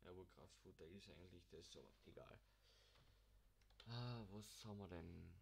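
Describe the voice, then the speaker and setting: A man's voice: low-pitched, normal speed, creaky, a little hiss or hum. A young man, casually commenting on a farming game while mumbling to himself, and he has a cold. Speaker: gaming channel host.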